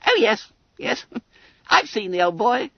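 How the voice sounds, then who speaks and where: A storybook reader voicing a boastful, fat schoolboy, pompous and gleeful, with a squeaky, trilling voice. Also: animated, slow pace, gravelly, breathy edge, dry recording, dull audio, full character voice. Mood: smug, gleeful. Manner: pompous, gleeful, muddles long words. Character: boastful, fat, bespectacled schoolboy, smug and indignant.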